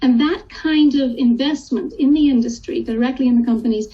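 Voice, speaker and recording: A woman speaking as a guest analyst in a business TV interview, polished and brisk. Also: high voice, warm tone, highs cut off.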